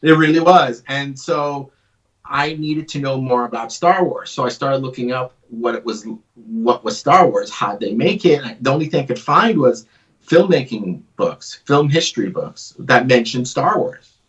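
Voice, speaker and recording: A man in his forties, a guest in a casual interview, talks in an animated, jokey, rambling way at a middle pitch. His voice sounds set back from the mic, and the audio is a little dull and muffled. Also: moderate pace. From Canada (Vancouver). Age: 40s. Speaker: guest, comic creator. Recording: dull distant remote audio.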